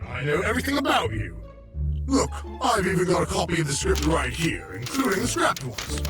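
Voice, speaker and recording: Guy doing a manic villain in an audio drama, super high shouty ranting, loud music behind. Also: sing-song, a little fast. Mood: mocking, gloating. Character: manic fourth-wall-breaking villainous being, taunting and petulant.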